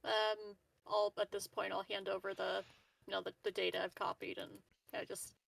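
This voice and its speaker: A woman's voice: mid-range voice, flat delivery, moderate pace, gravelly, a bit bright, low-quality recording. A woman playing a tabletop roleplaying game, improvising casually in an offhand, hesitant way.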